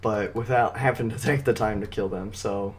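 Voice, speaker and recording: A man narrates a video game guide in a fairly low voice with a slight scratch to it. He explains things step by step in a casual, instructional way, as if walking viewers through the route. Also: moderate pace. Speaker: gaming video guide host.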